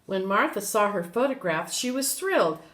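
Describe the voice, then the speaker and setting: An older woman reading a children's story aloud in an expressive way, with brief asides. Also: medium pitch, normal speed, a little hiss or hum. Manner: expressive, animated. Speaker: storybook reader. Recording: roomy echo.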